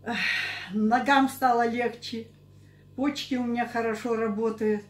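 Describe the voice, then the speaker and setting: A woman of sixty-seven giving halting, unscripted interview answers, often repeating herself. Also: a little high, fairly even intonation. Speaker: interviewee giving a product testimonial. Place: small curtained indoor office. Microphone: camera a couple of metres away. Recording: echoey room.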